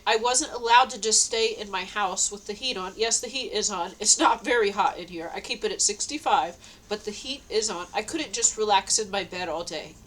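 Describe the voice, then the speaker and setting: A woman's voice: mid-range voice, fairly bright tone, a little hiss or hum, low-quality recording. A woman with a stuffy nose, talking at home in a rambling, meandering way, full of fillers and restarts. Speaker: vlogger. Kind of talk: rambling personal diary talk. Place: at home indoors.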